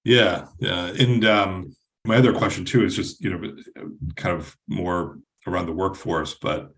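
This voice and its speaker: A man's voice: sits low, steady tone, measured pace, a bit bright. A man hosting a podcast, asking a long question in a casual, hedging way full of "you know". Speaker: podcast host. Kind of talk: interview question.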